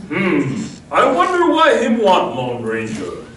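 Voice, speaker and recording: A man's voice: high-pitched, wildly swooping pitch, takes it slowly, exaggerated voice. A man playing a loyal, solemn cowboy's sidekick in an old-time radio western, speaking in clipped pidgin phrases. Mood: stoic, curious. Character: Tonto, the masked man's loyal Native sidekick, man. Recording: echoey room from far off, faint noise.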